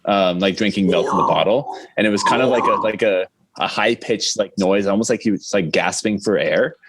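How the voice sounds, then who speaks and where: A man's voice: on the low side, a little fast, a little hiss or hum, low-quality recording. A man chatting casually as a podcast guest, explaining things in a rambling way. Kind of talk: casual chat.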